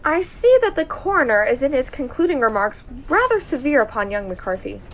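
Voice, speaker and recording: A woman playing an earnest male companion who narrates an amateur audio drama in a measured, literary way. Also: sits high, sing-song, a little hiss or hum.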